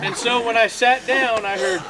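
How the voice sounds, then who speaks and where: A middle-aged man telling an informal comic story to a group, acting out the dialogue in an animated way. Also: very high-pitched, moderate pace. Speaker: campfire storyteller. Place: outdoors among a gathered crowd. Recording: noisy outdoor recording with audience chatter. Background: audience sounds, outdoor ambience.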